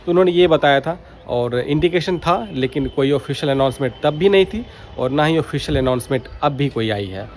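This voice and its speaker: A man giving informal, explanatory vlog commentary, going back over his points as he speaks. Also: medium pitch. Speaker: radio hobby vlogger. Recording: clearly noisy background.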